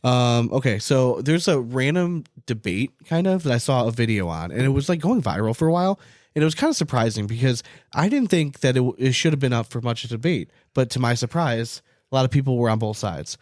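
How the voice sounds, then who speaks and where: A North American man co-hosting a casual podcast, telling things in an animated, swear-happy way peppered with "like". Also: mid-range voice, brisk, no room sound, studio quality. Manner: profane, animated storytelling with frequent "like". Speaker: podcast co-host.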